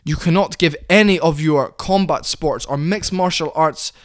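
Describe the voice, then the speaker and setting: A man's voice: on the high side, normal speed. A man giving heated, opinionated commentary on fighters, often quoting others in put-on voices. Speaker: commentary channel host.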